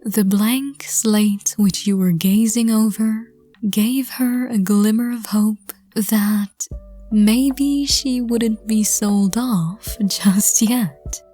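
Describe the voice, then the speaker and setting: A woman reading scripted second-person story narration in a soft, gentle, hushed voice. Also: mid-range voice, steady tone, very slow, soft background music. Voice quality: soft, breathy. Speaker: narrator and audio roleplay reader.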